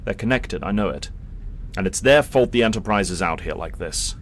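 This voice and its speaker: A man's voice: low voice, animated, normal speed, fairly bright tone, a little hiss or hum. A man playing a defiant, brooding starship captain in a science-fiction fan drama, in dramatic dialogue. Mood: agitated.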